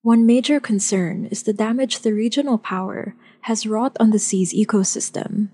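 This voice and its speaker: A woman hosting a news podcast, reading a scripted narration in a measured way. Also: mid-range voice, mellow, husky. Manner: measured, script-read delivery.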